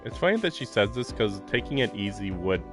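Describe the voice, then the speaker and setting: A chatty man streams a visual novel, his voice on the low side. He reads lines aloud between casual remarks and frequent laughs, with game music playing behind him. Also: very animated, fairly quick. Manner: chatty, laughs often, filler-heavy.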